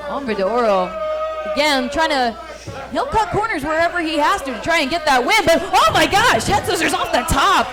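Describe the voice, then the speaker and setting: Playful high-voiced female commentator. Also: big pitch swings, normal speed, over loud music. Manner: playful, laughing, exclaiming. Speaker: wrestling color commentator. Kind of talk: color commentary. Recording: loud crowd behind.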